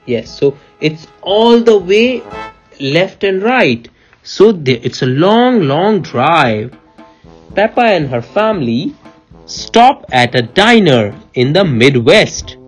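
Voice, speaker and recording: Woman doing storytime for a kid, kinda slow and low, really animated, faint music behind. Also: husky. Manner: expressive, playful, teacherly, repeats answers and prompts. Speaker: parent reading a picture book to a child. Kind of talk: animated read-aloud storytelling with questions to a child.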